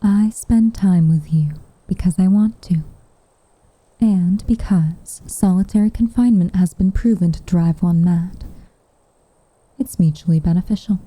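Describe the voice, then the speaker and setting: Woman playing a tsundere witch, ASMR roleplay, lowish soft voice, breathy whispers, close and dry recording, hesitant, coy, guarded but tender. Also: unhurried, no echo, rough recording. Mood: guarded, wistful. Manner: hesitant, coy, wry formal phrasing.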